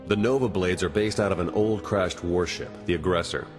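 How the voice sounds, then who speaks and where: Steady, serious strategist ally male actor, low-pitched and instructive, music underneath, for a video game. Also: a little fast, close to an everyday voice.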